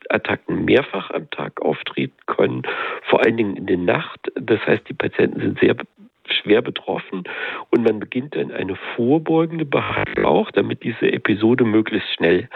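A man working as a headache specialist, calmly explaining a condition and its treatment in medical terms on a radio call-in show. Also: lowish voice, gravelly, breathy edge, dry recording. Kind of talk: radio call-in expert answers. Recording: tinny phone-line radio sound.

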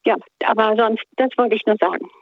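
A woman's voice: on the high side, fairly even intonation, brisk, no room sound. A woman calling into a radio show, talking in a hesitant, devout, rambling way. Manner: hesitant, searching for words, devout. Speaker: radio caller. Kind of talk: phone-in question, rambling. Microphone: telephone. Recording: tinny phone line.

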